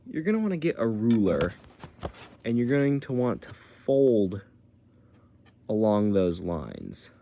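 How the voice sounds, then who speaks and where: A man with a slightly low voice walks through a how-to at a slow, unhurried pace. His delivery is casual and colloquial, like someone explaining while demonstrating by hand. There is some faint noise in the home recording. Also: wildly swooping pitch, dark tone. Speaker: tutorial presenter. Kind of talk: casual step-by-step how-to tutorial.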